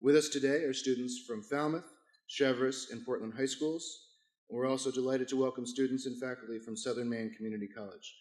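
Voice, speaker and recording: A hoarse-voiced man stands at a podium microphone and hosts a morning business forum. He reads announcements in a formal, scripted way, tripping on a word here and there. His voice rings through a large, echoey event hall. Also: mid-range voice, steady tone, a little fast, a little mellow. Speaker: chamber of commerce president hosting a business forum. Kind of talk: reading sponsor announcements at a breakfast event.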